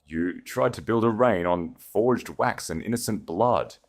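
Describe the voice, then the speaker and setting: A man's voice: fairly low pitch, a little slow, vocal fry, pitched above the performer's own voice. A man voicing a wrathful, commanding Grand Duke in an audio story, cold, imperious and menacing. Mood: stern command.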